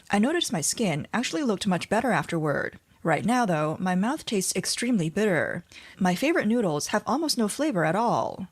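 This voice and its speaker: A woman narrating a scripted news explainer, reading reports and quoted posts in a measured, even delivery. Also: mid-range voice.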